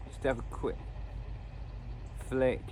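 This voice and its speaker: A man's voice: takes it slowly, mellow. Rambling man, noisy outdoor recording. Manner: rambling, self-deprecating. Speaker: radio hobbyist and video host. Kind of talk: casual unscripted commentary. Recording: noisy outdoor recording, radio static and traffic.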